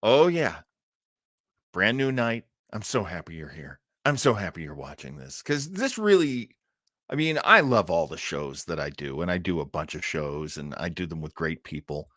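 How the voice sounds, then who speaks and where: A man's voice: low voice, big pitch swings, normal speed, a bit bright, no room sound, clean studio sound. A man hosting a live stream about old-time radio, chatting in a rambling, enthusiastic, theatrical way. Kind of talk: casual live stream chat.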